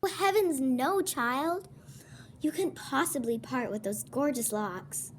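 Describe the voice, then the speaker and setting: A girl of about ten playing an excitable, effusive lady customer in a school radio play. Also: high-pitched, slow pace, slight background noise. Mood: excited. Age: child of about ten. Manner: effusive, exclaiming.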